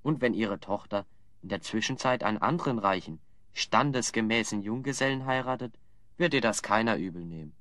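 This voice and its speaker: An actor playing a clever, composed hero in a German audio drama, speaking in a measured, articulate, persuasive way. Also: male voice, lowish voice, lively intonation, a bit bright, no echo, studio quality. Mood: calm, persuasive.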